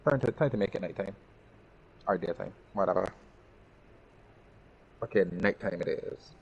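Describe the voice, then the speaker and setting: A man with a fairly low voice plays and comments casually, addressing himself and the characters as he goes. His mic sounds a little dull, with faint noise behind him. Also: normal speed, vocal fry, a little mellow. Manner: talks to himself and the game characters. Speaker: gaming streamer. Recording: band-limited mic with faint background noise.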